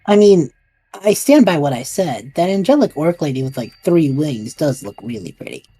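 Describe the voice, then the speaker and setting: A woman plays an anxious, wry sidekick in an audio drama. The voice is lowish and husky, very animated, with incredulous, hesitant questioning. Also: normal speed, exaggerated voice, poor audio. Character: bemused, anxious best-friend adventurer, wryly questioning.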